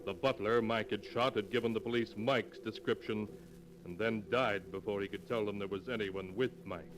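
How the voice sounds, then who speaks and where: A man narrating an old-time radio mystery in a measured, suspenseful delivery. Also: on the low side, low-quality recording. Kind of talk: scripted dramatic narration.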